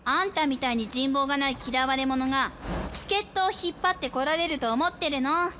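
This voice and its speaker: An actress playing a snide, prickly high-school girl in a radio drama, scornful and sharp-tongued. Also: brisk, very noisy, natural voice. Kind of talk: Japanese anime-style radio drama acting.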